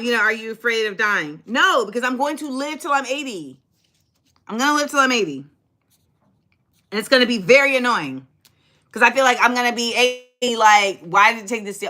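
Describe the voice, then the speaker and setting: Bright-voiced chatty woman, livestream host. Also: a little high. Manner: chatty, sassy, animated, reads and answers viewer comments. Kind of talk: casual livestream chat with viewers.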